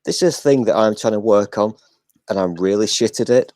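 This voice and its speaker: An older man hosting a casual live chat, talking in a flat, self-deprecating way and swearing now and then. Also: on the low side, fairly quick, no room sound.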